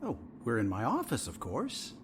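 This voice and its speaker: A man's voice: mid-range voice, wildly swooping pitch, moderate pace. Guy acting as creepy-polite male shrink, smug and wordy, super swoopy delivery, bit of noise behind. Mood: smug, wry. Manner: arch, wryly formal. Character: smug, evasive male therapist toying with a captive patient. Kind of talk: audio drama acting.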